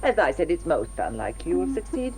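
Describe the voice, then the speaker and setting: An actress playing a brisk, detached doctor in a British radio drama, articulate and matter-of-fact with a British accent. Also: female voice, on the low side, big pitch swings, a little mellow. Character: brisk, clinical female doctor, detached and talkative.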